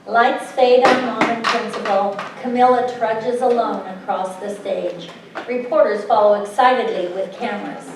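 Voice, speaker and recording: A woman's voice: a little high, fairly even intonation, normal speed, heavy background noise, close to an everyday voice. A woman performing as the neutral narrator who reads stage directions at a staged musical reading. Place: live stage in a hall, far mic. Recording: echoey hall, distant mic, music.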